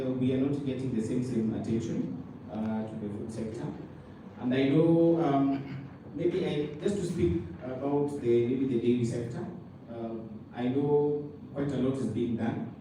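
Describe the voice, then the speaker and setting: A Kenyan man speaking on a panel as a dairy regulator, halting and full of fillers and restarts. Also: mid-range voice. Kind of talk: panel talk. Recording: roomy hall from a distance, loud noise.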